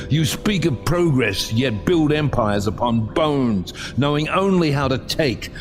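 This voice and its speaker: An actor playing a bitter, vengeful sea captain who condemns mankind in grand, theatrical audio drama speeches. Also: male voice, medium pitch. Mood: indignant. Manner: grand, theatrical, deliberate oratory dropping to hushed intensity.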